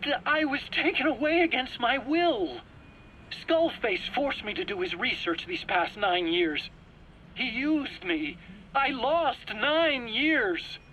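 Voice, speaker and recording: A man's voice: extremely high pitch, expressive, takes it slowly, bright, forceful tone, lo-fi sound, slight background noise, exaggerated voice. An actor playing an anxious, self-pitying male scientist in a video game cutscene, pleading and stammering indignantly in his own defense. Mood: wounded self-pity. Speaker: accused scientist under interrogation.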